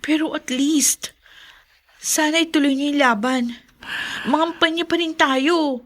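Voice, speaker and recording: Young Filipina daughter, very high voice, pleading, resentful, radio drama delivery. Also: female voice, fairly even intonation, measured pace.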